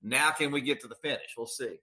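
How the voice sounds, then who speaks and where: A man's voice: medium pitch, fairly quick, pushed and bright, rough recording. A man who announces sports on radio, talking conversationally as an interview guest and repeating phrases for emphasis.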